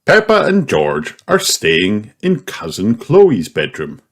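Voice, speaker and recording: A man reading a children's story aloud in an animated, expressive storytelling manner. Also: fairly low pitch, takes it slowly. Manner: animated, puts on character voices. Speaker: audiobook reader. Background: music.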